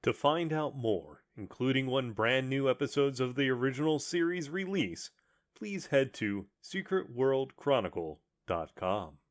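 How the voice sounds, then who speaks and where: Slow, dramatically inflected male announcer. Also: medium pitch, animated. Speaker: podcast announcer. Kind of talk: scripted intro announcement. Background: music.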